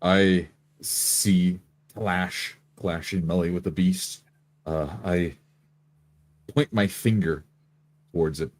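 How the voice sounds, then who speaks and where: A man's voice: sits low, steady tone, slow pace, pauses often. A man playing a tabletop roleplay game, describing his character's actions.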